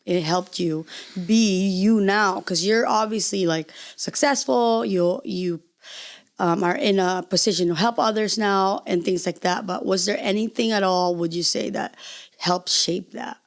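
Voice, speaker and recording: Woman podcast host. Friendly chat, teasing jokes, wandering questions. Mid pitch. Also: a little slow, gravelly. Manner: chatty, joking, rambling questions. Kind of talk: casual interview conversation.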